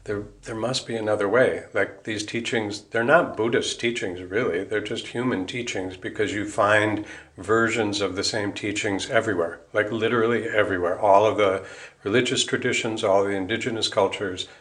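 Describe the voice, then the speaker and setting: A man's voice: lowish voice, steady tone, moderate pace, fairly bright tone. An American man answering interview questions in a reflective, informal way, explaining ideas as he thinks aloud and rephrases. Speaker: interview guest, musician and Buddhist priest.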